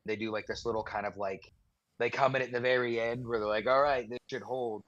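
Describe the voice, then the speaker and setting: Male podcast co-host, conversational and informal, medium-pitched, slightly muffled lo-fi microphone with no room sound. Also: fairly even intonation, a little fast, a bit bright, no room sound. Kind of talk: casual chat.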